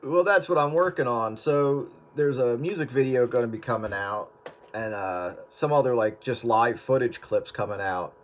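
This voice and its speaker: A man's voice: medium pitch, moderate pace, background noise. A man chatting casually about editing old video, rambling with many fillers and restarts. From US Northeast. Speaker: video editor. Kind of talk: casual chat.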